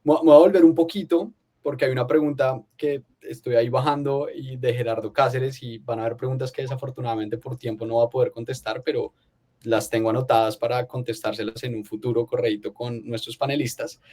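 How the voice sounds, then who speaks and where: Moderator, man, mellow tone, friendly and conversational. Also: mid-range voice, fairly even intonation, fairly quick. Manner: friendly, conversational, playful asides. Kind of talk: moderating a webinar panel.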